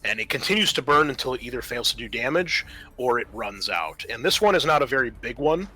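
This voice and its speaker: Bright-voiced man running a tabletop game. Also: medium pitch, expressive, brisk, breathy edge, rough recording. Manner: explanatory, conversational. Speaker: game master. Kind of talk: narrating and running a tabletop horror roleplaying game.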